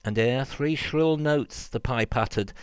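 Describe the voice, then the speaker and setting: A man reading a children's verse story aloud in an expressive, theatrical and rhythmic way. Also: mid-range voice, measured pace, clear, resonant tone, no echo. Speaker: reader, storyteller.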